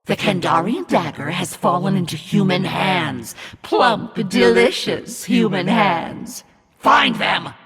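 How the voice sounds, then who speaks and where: A man voicing a menacing, scornful demonic narrator in a video game, commanding and mocking in a theatrical, raspy delivery. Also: high voice, pushed and bright, heavily acted. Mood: menacing, furious. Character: demonic evil narrator, menacing, scornful, imperious servant of darkness. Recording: long reverb over music.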